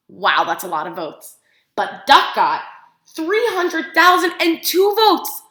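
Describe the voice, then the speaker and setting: Woman, high-pitched, expressive preschool teacher, picture-book read-aloud, child-directed questions, echoey room. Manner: animated, expressive, simple child-directed phrasing with gasps for effect.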